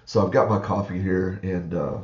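A man's voice: sits low, fairly even intonation, moderate pace, a little mellow, echoey room, lo-fi sound. A man with a froggy, groggy morning voice, rambling through a casual screen-share walkthrough with many fillers. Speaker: online reseller vlogger.

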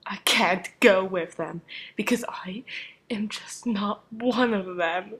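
A woman's voice: mid-range voice, takes it slowly, fairly bright tone, pitched below the performer's own voice. A woman voicing a gloomy, irritable male puppet in a cartoon comic dub, with a weary, sulky delivery. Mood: sad, bitter.

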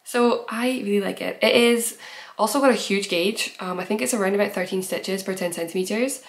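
A woman's voice: medium pitch, brisk, creaky. Young woman, nasal with a cold, chatty giggly craft-podcast host talking to camera at home. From based in Edinburgh. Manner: chatty, giggly, rambling with fillers. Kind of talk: casual chat to camera. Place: small room at home, bookshelves.

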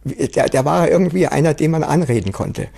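A man recollecting spontaneously in a documentary interview, halting and repeating words as he searches for the next. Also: on the high side, lively intonation, creaky.

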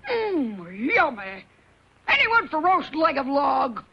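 A woman voicing a kooky, hungry, complaining woodchopper's wife with a creaky voice in exaggerated cartoon style. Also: high voice, sing-song, slow, full character voice. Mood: dotty. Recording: dull lo-fi old cartoon soundtrack.

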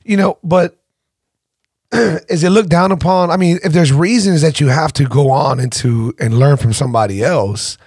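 A man's voice: fairly high pitch, normal speed, a little mellow, breathy edge, dry recording, studio quality. Blunt, swearing podcast host man. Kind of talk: casual interview. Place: small podcast studio. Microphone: studio boom mic with headphones.

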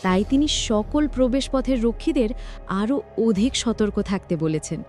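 A woman plays a fairy messenger in a cartoon, high-voiced and very expressive. She speaks formally and earnestly over music. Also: normal speed, close to an everyday voice. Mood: urgent. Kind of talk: animated fairy-tale acting. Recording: music under the voice.

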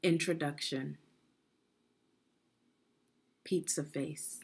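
Slow, husky woman reading to children. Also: low-pitched, steady tone. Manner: deliberate, instructive, with pauses and questions. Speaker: education consultant reading aloud to children. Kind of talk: guided read-aloud lesson for young children.

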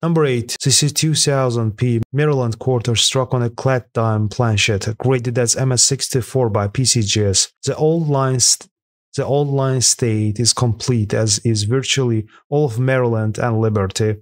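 Male narrator, mid-pitched, steady scripted read, dry close mic. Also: normal speed, no echo. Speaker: narrator of a coin auction countdown.

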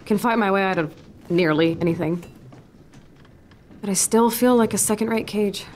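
A woman's voice: mid-range voice, moderate pace, a little mellow, slight background noise, natural voice. An actress playing a wry young female soldier, a burdened general's daughter, in a video game. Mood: frustrated. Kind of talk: naturalistic video game acting.

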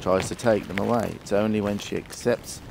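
A man's voice: on the low side, steady tone, a little fast, vocal fry. A man talks through his work with a horse in a step-by-step, practical way. He is recorded outdoors in a paddock. Faint background noise sits under his speech. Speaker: horse trainer. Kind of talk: explaining while working.

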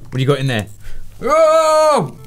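A man's voice: mid-range voice, animated, fairly quick, noisy background. A man in his thirties co-hosting a video with casual, teasing, sweary banter and some scolding. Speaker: co-host of a gadget testing video. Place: small studio room with curtains, indoors.

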